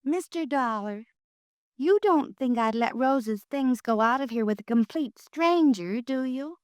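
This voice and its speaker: A woman's voice: high voice, expressive, unhurried, smooth, dry recording, clean studio sound. An actress playing a fussy, talkative young woman who is easily offended, in a 1950s radio drama. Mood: prim. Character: chatty, fussy young woman, the missing girl's roommate.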